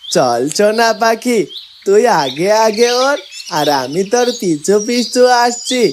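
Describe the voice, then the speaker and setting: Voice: medium pitch, sing-song, takes it slowly, noisy background. A performer voicing a brave, protective male horned farm animal in a fairytale cartoon, speaking earnestly and loyally. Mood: bold, protective. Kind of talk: cartoon character dialogue. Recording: loud music bed behind the voice.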